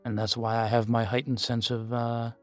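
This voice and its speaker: A man's voice: mid-range voice, flat delivery, natural voice. Man voicing a guarded, formal male vampire, music behind. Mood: reassuring, measured. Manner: formal, measured, deliberate phrasing. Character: guarded, world-weary male vampire informant, proud but fond. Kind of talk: scripted audio roleplay to the listener. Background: background music.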